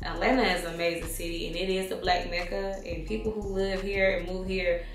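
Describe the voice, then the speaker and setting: A woman's voice: lowish voice, level tone, fairly quick, reverberant, soft background music. A woman in her thirties answering viewer questions in a casual vlog, candid and slangy, calling listeners honey and baby. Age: 30s.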